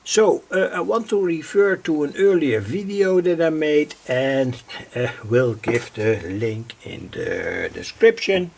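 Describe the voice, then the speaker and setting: Slow, gravelly man explaining radio coils. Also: medium pitch. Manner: slow and halting, many "uh" pauses. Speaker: radio hobbyist presenting his own channel. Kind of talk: unscripted hands-on demonstration.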